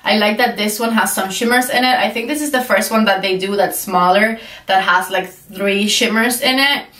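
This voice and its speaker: A woman's voice: mid-range voice, normal speed, sharp tone. Young woman, congested stuffy voice, chatty makeup talk, lots of "like", roomy echo. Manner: chatty, enthusiastic, many "like" fillers. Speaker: beauty vlogger. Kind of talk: casual makeup review. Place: indoor room with shelves. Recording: roomy echo, voice slightly far from mic.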